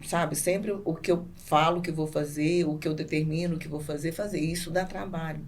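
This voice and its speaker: A middle-aged woman with a Brazilian Portuguese accent answers interview questions conversationally, pausing and restarting as she searches for words. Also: deep voice, fairly even intonation, darkish tone. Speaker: podcast guest, business owner. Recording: clearly noisy background ambience.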